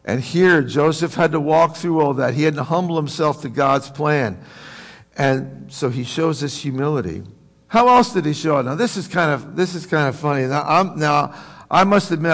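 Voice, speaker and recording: American male pastor, creaky voice, joking and folksy, preaching conversationally in an echoing hall. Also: mid-range voice, fairly bright tone. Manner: folksy, joking, rhetorical questions to the congregation. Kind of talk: conversational sermon. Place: large room, preaching to a congregation. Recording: reverberant hall.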